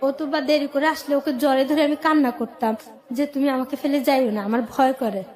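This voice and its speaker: A woman's voice: high-pitched, steady tone, brisk, darkish tone, a faint crowd. A woman with a Bangladeshi accent telling her personal life story as a radio guest, in plain colloquial short phrases.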